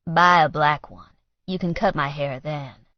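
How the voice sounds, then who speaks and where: A woman voicing a gruff, proud working-class man who speaks tersely in an audiobook romance. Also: low-pitched, measured pace, no echo, highs cut off. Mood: gruff, guarded. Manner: terse, grumbling.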